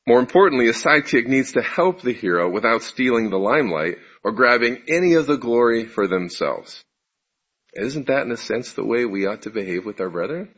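Male preacher, deep and husky voice, conversational sermon, muffled lo-fi recording. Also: a bit bright. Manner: conversational, self-deprecating, asks the audience questions. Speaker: preacher giving a congregation talk.

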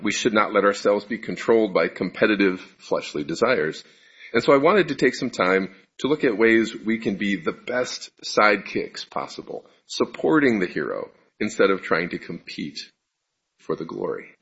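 A man with a deep voice giving a conversational sermon to his congregation, asking them questions and poking fun at himself. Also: lively intonation, moderate pace, a bit bright, breathy edge. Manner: conversational, self-deprecating, asks the audience questions. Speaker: preacher giving a congregation talk. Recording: muffled lo-fi recording.

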